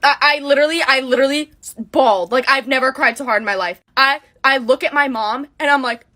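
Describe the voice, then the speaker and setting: A young woman with a fairly high, bright voice tells a personal story casually. She is dramatic and emphatic, repeating words for effect and slipping into quoted dialogue as she goes. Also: brisk.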